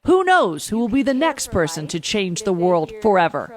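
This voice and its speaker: A woman news correspondent reading a scripted report in a measured, emphatic way. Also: medium pitch, big pitch swings.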